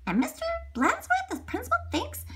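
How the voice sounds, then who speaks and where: A woman's voice: very high-pitched, huge pitch swings, normal speed, noisy background, pitched above the performer's own voice, exaggerated voice. A woman voicing an astonished, indignant lady schoolteacher in a storybook, exclaiming and questioning herself.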